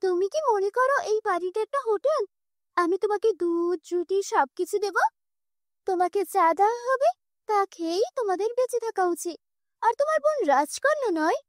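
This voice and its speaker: A woman's voice: very high voice, animated, moderate pace, no echo, studio quality, voice pushed up. A woman voicing a cruel, scolding, bossy aunt in a children's cartoon story. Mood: sarcastic. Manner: sharp, bossy, sarcastic. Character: cruel, scolding aunt who begrudges her orphaned nieces.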